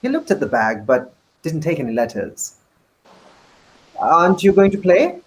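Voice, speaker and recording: A male actor gives a dramatic reading of a first-person novel. His voice sits a little high for a man, and he narrates in a measured, deliberate way. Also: huge pitch swings, low-quality recording.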